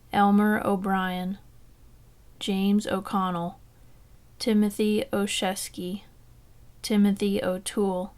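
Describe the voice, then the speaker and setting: Smooth, even-voiced woman slowly reading names. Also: medium pitch. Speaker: reader of a memorial roll call. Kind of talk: formal read-out list of names.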